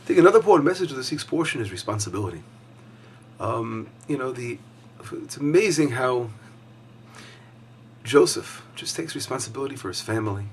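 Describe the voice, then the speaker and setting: A hoarse man teaching a weekly Torah lesson as a rabbi, talking conversationally with frequent fillers and restarts. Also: medium pitch, lively intonation, fairly quick, a little hiss or hum.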